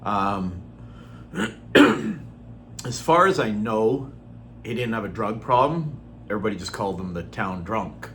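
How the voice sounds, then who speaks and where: A middle-aged man from Norway, born Norwegian-speaking, talking in an earnest, unhurried way with frequent ums and throat clearing in a personal vlog. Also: lowish voice, sing-song, fairly bright tone, a little hiss or hum. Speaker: vlogger. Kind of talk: casual personal vlog talk.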